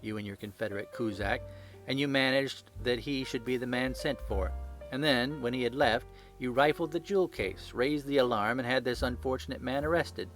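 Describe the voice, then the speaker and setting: A man playing a confident gentleman detective in an audiobook, speaking with brisk authority and dry wit. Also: mid-range voice, soft background music, lightly acted. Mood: commanding. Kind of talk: audiobook character acting.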